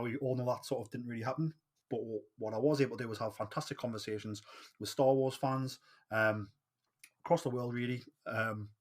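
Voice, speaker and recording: Upbeat Geordie man hosting a podcast. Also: medium pitch. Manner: upbeat, hesitant with fillers. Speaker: podcast host. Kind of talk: casual podcast chat.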